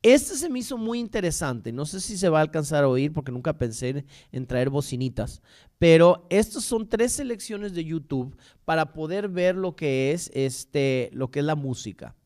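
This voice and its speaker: Mexican guy lecturing on history, kinda high voice, rambles and trips over words, corrects himself. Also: lively intonation, darkish tone. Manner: rambling, halting with repeated words and self-corrections. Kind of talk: informal illustrated history lecture.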